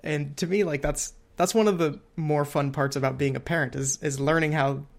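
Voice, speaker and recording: A man's voice: fairly high pitch, steady tone, moderate pace, gravelly. A man, perhaps in his thirties or forties, talking casually on a podcast, thinking aloud in a rambling way and acting out imagined quotes. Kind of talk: casual conversational podcast chat.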